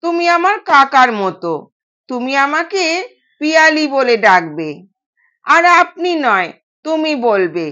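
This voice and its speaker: A woman's voice: sits high, very animated, unhurried, long pauses, dull audio, heavily acted. A woman voicing a kind young newlywed daughter-in-law in an audio story, polite and earnest. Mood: gently insistent. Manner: gentle, polite, quietly insistent.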